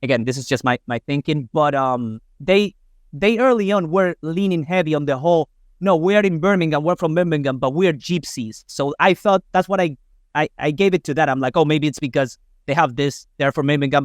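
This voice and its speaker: A man's voice: on the high side, a little fast, no room sound, clean studio sound. A man from Spain hosting a podcast in English, rambling with many fillers and hesitations. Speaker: podcast host. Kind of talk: casual interview.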